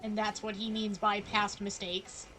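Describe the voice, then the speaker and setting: A woman's voice: mid-range voice, level tone, unhurried, music in the background, rough recording. A woman giving casual live commentary as she streams a video game.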